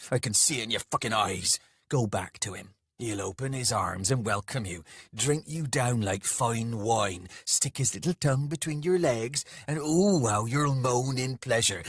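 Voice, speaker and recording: A man's voice: medium pitch, a bit bright, breathy edge, dry recording, studio quality. A man voicing a big, hot-tempered, jealous soldier in an audiobook, with a coarse, sneering manner and bellowed delivery. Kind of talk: dramatised audiobook dialogue.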